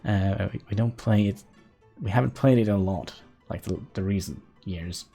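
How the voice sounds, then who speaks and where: Guy streaming a game, low raspy voice, chatty and laughing, faint music in the back. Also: normal speed, warm tone. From Norway. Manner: chatty, laughs often, talks to chat while playing. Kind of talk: casual live-stream gameplay commentary.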